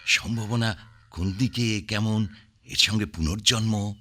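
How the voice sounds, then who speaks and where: A man's voice: fairly low pitch, unhurried, sharp tone. An actor playing a curious man who eagerly presses his friend with questions in a radio play.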